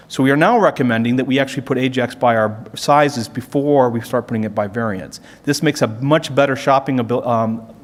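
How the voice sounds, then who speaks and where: A man giving an explanatory business conference presentation, posing questions and then answering them as a corporate presenter. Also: mid-range voice. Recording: reverberant hall sound.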